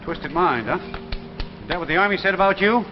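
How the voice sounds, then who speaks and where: A man's voice: sits high, expressive, brisk, soft background music. An actor playing an insistent, haunted old backwoods man with a folksy rural American dialect in a 1950s sci-fi drama. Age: older. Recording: noisy, muffled 1950s television soundtrack.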